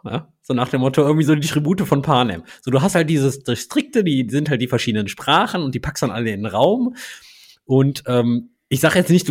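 A man's voice: mid-range voice, slightly rough. Bantering man, casual podcast co-host. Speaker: podcast co-host, engineering manager.

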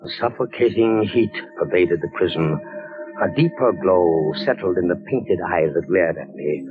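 An actor in a 1940s radio horror drama playing a desperate, feverish captain imprisoned in a dungeon, theatrical and melodramatic. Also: male voice, low-pitched, normal speed, music in the background, natural voice. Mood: horrified narration. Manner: theatrical, melodramatic, swinging from hushed dread to frantic cries. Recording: noisy muffled old radio recording.

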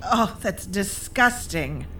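An actress playing a haughty, disdainful aristocratic vampire in an audio drama, with formal, theatrical, snobbish speech. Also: female voice, mid-range voice, lively intonation, slow. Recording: music under the voice. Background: music.

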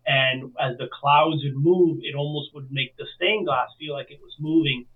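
A man's voice: a little high, moderate pace. A man from Miami speaking as an interview guest, casually telling his own story over a remote call. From Miami, United States. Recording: distant, thin phone-like call audio.

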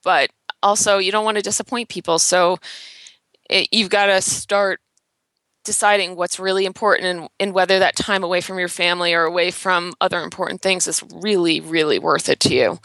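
A woman in her thirties talks openly and reflectively as a podcast guest, with a bright, forceful tone. The sound is close and dry, with no room around it. She falls into filler words and small laughs as she thinks aloud. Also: fairly low pitch, steady tone, normal speed, no echo, rough recording. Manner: earnest, self-reflective, laughs lightly, many "um" and "like". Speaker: interior designer and blogger, guest. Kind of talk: candid podcast interview chat.

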